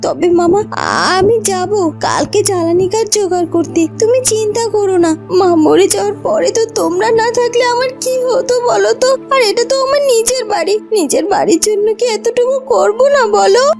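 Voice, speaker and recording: A woman voicing a gentle, obedient young orphan girl in a fairy-tale cartoon, earnest and pleading, with music behind. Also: extremely high pitch, brisk. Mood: reassuring, earnest.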